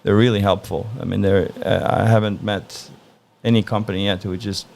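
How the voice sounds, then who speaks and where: Interview guest, male, from Norway, gravelly low voice, easygoing and thoughtful. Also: slight background noise. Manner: relaxed, thoughtful, frequent "uh" pauses. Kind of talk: casual interview answers.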